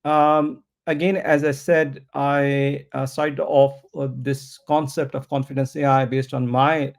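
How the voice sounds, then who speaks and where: A man whose first language is not English, answering interview questions about his product in a slow, thoughtful way with many pauses and restarts. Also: mid-range voice, flat delivery, vocal fry. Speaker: startup founder, interview guest. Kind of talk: podcast interview.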